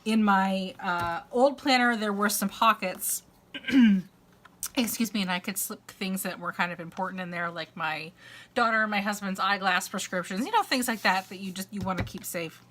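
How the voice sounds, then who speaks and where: Chatty congested woman with a bright voice. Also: mid-range voice, normal speed. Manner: rambling, chatty, with many uhs and restarts. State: congested with a dry cough, sore throat. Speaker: crafter and vlogger. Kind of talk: long casual chat. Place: at home, indoors.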